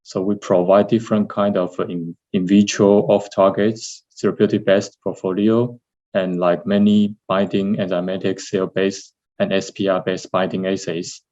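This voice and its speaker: A man with a Taiwanese accent presents in a low, dark voice. He talks haltingly, often correcting himself mid-phrase, as a lab director would in an online seminar. The video-call sound is a little dull and lo-fi. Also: level tone. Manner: halting, with repeated and corrected words. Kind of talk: webinar slide presentation. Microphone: video call, seated at computer. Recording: dull, lo-fi webinar audio.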